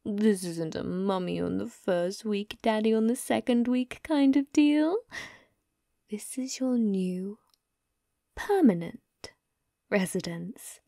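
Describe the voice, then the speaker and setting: A woman playing a young, possessive and sadistic yandere captor in an ASMR roleplay, taunting in a mockingly sweet, breathy, cooing way. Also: mid-range voice, slow pace, dark tone, no room sound, studio quality. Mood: cold threatening. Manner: taunting, teasing, cooing, breathy drops to whisper.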